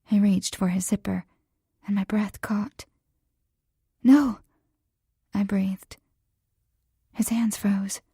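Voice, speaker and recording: A woman narrating a first-person romance audiobook in a soft, breathy whisper. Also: medium pitch, little pitch movement, takes it slowly, pauses often, no room sound, clean studio sound.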